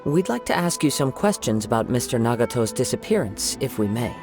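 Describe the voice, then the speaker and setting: A man voicing a calm young traveler in a video game, politely questioning people. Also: medium pitch, fairly quick, over music. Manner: polite, steady. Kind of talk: game voice acting.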